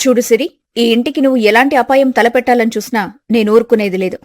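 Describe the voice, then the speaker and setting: A woman's voice: a little high, fast, a little mellow, no room sound, studio quality, lightly acted. A woman voicing a haughty, suspicious elder daughter-in-law with an accusing, sarcastic manner in a village family story. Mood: sarcastic, hostile.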